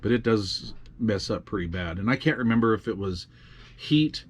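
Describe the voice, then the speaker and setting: Man, low voice, casual, tinkering and muttering, fillers, makeshift home studio. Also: animated, moderate pace. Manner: muttering to himself while fiddling with gear, many fillers. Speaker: radio hobby video host. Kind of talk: casual hands-on demonstration.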